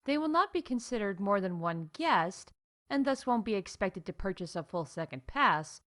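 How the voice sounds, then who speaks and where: A woman reading scripted comedic announcements in a playful, mock-official deadpan as the announcer of a news show. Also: mid-range voice, moderate pace. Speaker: announcer of a fictional theme park news show.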